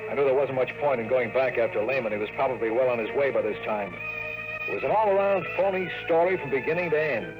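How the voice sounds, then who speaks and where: A man's voice: medium pitch, lively intonation, fast, dull audio, lightly acted. Wry hardboiled private eye, acted by a man. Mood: brooding narration. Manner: wry, slangy, sardonic. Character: wisecracking, world-weary male private investigator, hardboiled yet sentimental. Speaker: private investigator and narrator. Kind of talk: 1950s radio drama acting, hardboiled detective narration. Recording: old radio broadcast with music.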